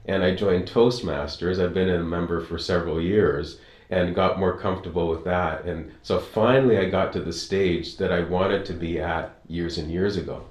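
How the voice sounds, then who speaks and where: A man hosting an interview, telling his own story in a measured, reflective way. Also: low voice, steady tone, a little mellow, roomy sound. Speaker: interview host. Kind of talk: casual interview talk.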